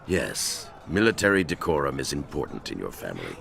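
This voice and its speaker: An actor playing a stern, cold grandmaster in a video game cutscene, speaking formally and with measured admonishment. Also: male voice, very animated, a little hiss or hum, exaggerated voice. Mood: grave. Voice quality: very deep, gravelly. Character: stern, ancient-sounding martial arts grandmaster, cold and measured. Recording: loud music under the voice.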